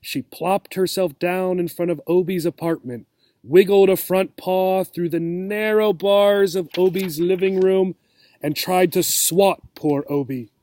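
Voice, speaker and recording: A man reading a children's storybook aloud as a teacher, expressive and checking in with listeners. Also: high voice, unhurried, no echo. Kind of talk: storybook read-aloud for English learners.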